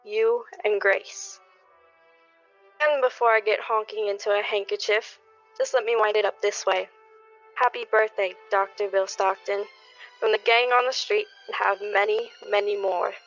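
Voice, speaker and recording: A woman acting in a radio play as a sentimental middle-aged neighbor, speaking in a measured, folksy speechmaking way. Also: mid-range voice, close to an everyday voice. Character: sentimental middle-aged neighbor giving an affectionate party toast. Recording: dull, lo-fi recording.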